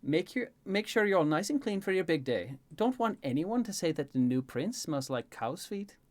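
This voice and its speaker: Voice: mid-range voice, sing-song, moderate pace, voice pushed up, lightly acted. Someone voicing a lilting, teasing older woman caretaker. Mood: fond, teasing. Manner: playful, bossy, mock-scolding. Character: teasing, affectionate older female caretaker of a young prince.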